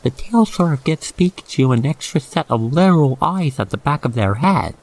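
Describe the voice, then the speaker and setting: Gravelly, creaky male ASMR storyteller. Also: a little high, animated, moderate pace, darkish tone. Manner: theatrical storytelling, addresses listener directly. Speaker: narrator. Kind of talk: ASMR roleplay narration to the listener.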